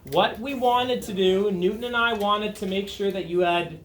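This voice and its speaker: Male teacher, thirties, dry near-monotone delivery with a slightly high voice, recorded from the back of an echoey classroom. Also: normal speed, a little hiss or hum. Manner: dry, self-described monotone, asks students questions. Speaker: chemistry teacher. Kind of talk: classroom review lesson. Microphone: far camera at the back of the room. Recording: roomy classroom sound from a distance with students around.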